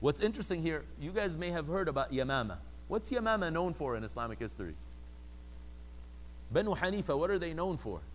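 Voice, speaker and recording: A man gives a religious lecture with a slightly raised pitch. He talks with lively, animated delivery and throws rhetorical questions at his listeners. A faint hum sits under his voice. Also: a little fast. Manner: animated, acts out quoted people in raised mocking voices; asks the audience rhetorical questions. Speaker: religious teacher.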